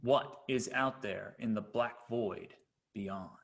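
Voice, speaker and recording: A man's voice: fairly low pitch, takes it slowly, creaky, fairly bright tone, exaggerated voice. A man acting a commanding, thoughtful and defiant starship captain in a screenplay table read. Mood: grand, wondering. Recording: online video call.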